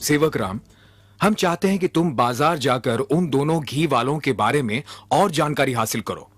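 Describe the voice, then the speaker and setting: A man voicing a shrewd, composed royal minister in a cartoon, speaking in a measured, courteous, assured way. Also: mid-range voice, expressive, husky. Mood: assured instructing. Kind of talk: Hindi cartoon voice acting. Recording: music behind the voice.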